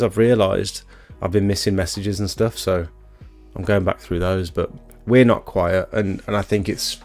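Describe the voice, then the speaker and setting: A man's voice: fairly low pitch, gravelly, a little mellow. A British man in his thirties chatting informally and rambling as he hosts a casual live stream, reading out comments and answering them. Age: 30s. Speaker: livestream host, exterior cleaning tradesman. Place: small home bedroom doubling as an office.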